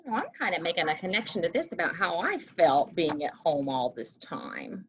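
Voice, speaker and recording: A woman's voice: on the low side, very animated, moderate pace, dull audio, a little hiss or hum. A woman reading a picture book expressively and chatting about it as she goes. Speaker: story reader, co-host.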